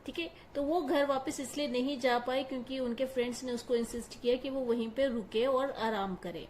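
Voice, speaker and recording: A woman's voice: sits high, moderate pace, a little mellow, slight background noise. A woman teacher patiently explaining a story line by line, glossing each phrase in simple words. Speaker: English teacher.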